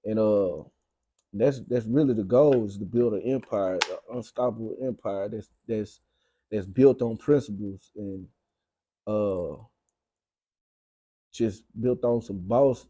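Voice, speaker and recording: A man's voice: lowish voice, takes it slowly, pauses often, mellow, no echo. A young man from Arkansas with a Southern US accent, talking casually as an interview guest in slang, repeating phrases for emphasis. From Arkansas, United States. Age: young adult. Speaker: guest, rapper.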